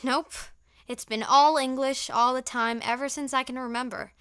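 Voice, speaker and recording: A young woman acting an earnest, somewhat hesitant high school girl in a naturalistic audio drama. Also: fairly even intonation, normal speed, sharp tone, clear, resonant tone. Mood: guarded, wistful. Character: earnest, reserved teenage high school girl raised on the mainland.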